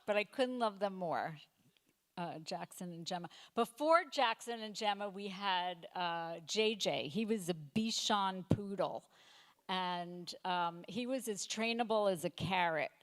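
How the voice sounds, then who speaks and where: A woman in her fifties doing a stand-up comedy set, delivering deadpan one-liners with halting fillers and self-corrections. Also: medium pitch. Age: 50s. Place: comedy night stage before a live audience.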